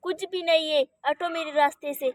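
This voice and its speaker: A woman playing a spiteful, quick-tempered daughter-in-law who scolds and bosses others in a children's cartoon story. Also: high voice, fairly even intonation, no echo, clean studio sound, natural voice. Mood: angry, scolding. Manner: scolding, bossy, insulting.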